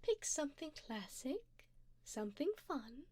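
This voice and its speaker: A woman playing a wealthy, doting vampire sugar mommy in an ASMR roleplay, speaking softly in a genteel, seductive way, often slipping into a whisper close to the mic. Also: high voice, sing-song, no echo. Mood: attentive, elegant. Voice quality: soft, breathy, often dropping to a whisper. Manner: slow, genteel, formal, seductive. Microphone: close binaural microphone.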